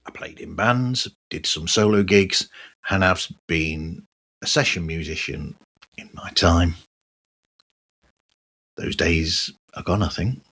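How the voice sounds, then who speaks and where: A middle-aged man giving a conversational solo podcast monologue in measured, halting short phrases. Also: deep voice, fairly bright tone, breathy edge. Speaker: podcast host.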